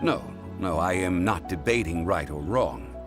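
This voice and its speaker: An actor playing a calm, learned man of measured wisdom in a video game, speaking in a courteous, unhurried way. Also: sits low. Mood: composed. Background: game music.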